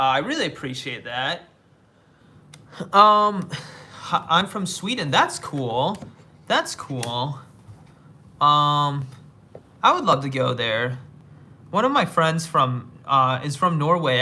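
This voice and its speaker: A young American man livestreaming from Asia, reading viewer comments aloud and answering them in a casual, halting way. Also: fairly high pitch, big pitch swings, sharp tone. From United States. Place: living somewhere in Asia.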